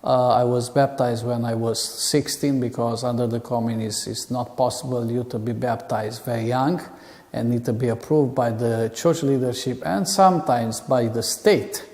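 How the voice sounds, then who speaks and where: A man's voice: mid-range voice, steady tone, moderate pace, darkish tone. A man with a Romanian accent giving a plain, matter-of-fact testimony. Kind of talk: personal testimony. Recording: echoey room with long reverb.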